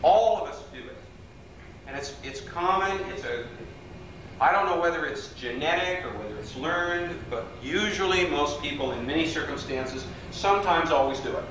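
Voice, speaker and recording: A man's voice: on the high side, expressive, normal speed, noisy background. Man leading a workshop in an echoey hall. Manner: playful storyteller, teases audience members. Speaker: workshop leader. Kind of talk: live workshop talk with jokes. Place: large hotel meeting room. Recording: echoey room recorded from far off.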